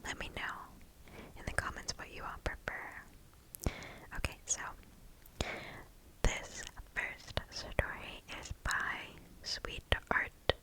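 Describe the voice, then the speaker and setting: A woman speaks entirely in a soft, breathy whisper, very close to the microphone. Her delivery is slow and deliberate, with gentle phrasing suited to an ASMR reading of scary stories. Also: poor audio. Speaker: ASMR host.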